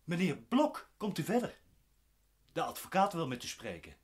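Radio drama casting: defiant, formally spoken male defendant, higher voice, highly varied intonation. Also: sing-song, moderate pace, breathy edge. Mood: coolly polite. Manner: formal, assertive. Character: dissatisfied, defiant male defendant, politely cutting. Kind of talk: radio play acting.

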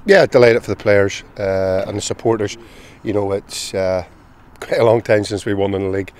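A middle-aged man with a Northern Irish accent, a football manager giving a measured post-match interview outdoors at a stadium, with many "you know" fillers. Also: lowish voice, steady tone. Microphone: handheld interview mic, close camera.

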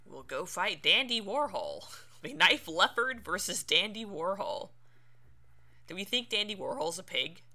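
Very bright, animated streamer. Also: mid-range voice, unhurried. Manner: playful, reactive, sweary. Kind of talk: casual let's play commentary. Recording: game music behind.

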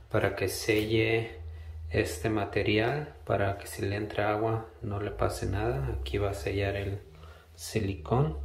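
Echoey, creaky low-voiced man explaining DIY. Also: a little mellow. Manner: casual, mixes in English words. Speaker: DIY helper explaining the work. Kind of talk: casual hands-on explanation. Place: small bathroom. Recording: roomy indoor echo, background noise.

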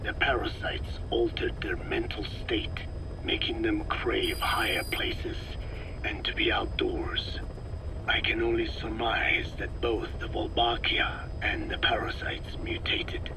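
An actor playing a frail old scientist in a video game, with a breathy rasp and a measured, professorial way of explaining. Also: male voice, lowish voice, little pitch movement, background noise. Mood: grave, explaining. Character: very old, frail male scientist, grave and learned. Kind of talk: scripted video game dialogue.

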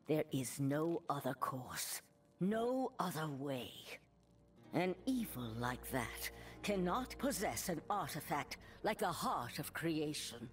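Stern sisterhood matriarch for a video game, solemn, unhurried, soft music behind. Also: female voice, sits low, big pitch swings, full character voice. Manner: solemn, measured. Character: stern, grave female leader of a sisterhood. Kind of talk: fantasy video game acting.

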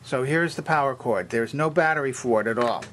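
A man's voice: mid-range voice, fairly quick, a bit bright, slight background noise. An older man giving a methodical, hands-on explanatory tour as a repairer demonstrating equipment. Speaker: vintage electronics repairer and demonstrator.

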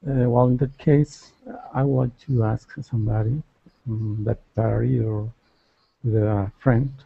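A man learning English speaks with a Mexican accent and plenty of vocal fry. He is slow and hesitant. The audio is thin and phone-like. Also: mid-range voice, fairly even intonation. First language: Spanish. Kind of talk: hesitant classroom answers. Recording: tinny phone-like video call.

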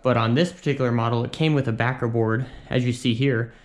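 A man's voice: medium pitch, fast talker. Young man narrating a handyman job. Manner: explains while working, relaxed and chatty. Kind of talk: casual work narration. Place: small indoor bedroom.

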